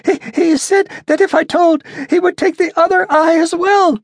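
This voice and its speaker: A man's voice: very high-pitched, moderate pace, a little mellow, no room sound, pitched above the performer's own voice. A man voicing a terrified, drunk male ventriloquist who stammers and whimpers near tears.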